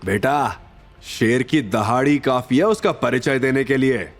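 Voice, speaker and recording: A man's voice: medium pitch, unhurried, soft background music, voice pushed up, natural voice. A man voicing a boastful reformed underworld hitman in an audio drama, speaking in a dramatic, awed storytelling manner. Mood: proud.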